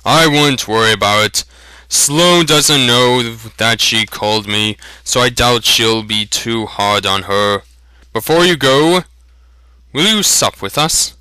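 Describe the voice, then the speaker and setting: A man voicing a gruff, good-humoured, plain-spoken blacksmith in a fantasy audiobook. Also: mid-range voice, slow, pushed and bright, no room sound, poor audio. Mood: reassuring. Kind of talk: dramatic fantasy audiobook reading.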